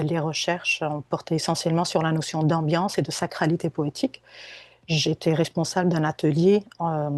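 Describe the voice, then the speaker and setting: Hesitant, low-voiced Tunisian woman lecturing. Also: a little mellow, no room sound, clean studio sound. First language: Arabic. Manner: careful, hesitant, many fillers and restarts. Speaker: guest lecturer, anthropologist. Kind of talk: academic conference talk. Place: home or office on a video call. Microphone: video call.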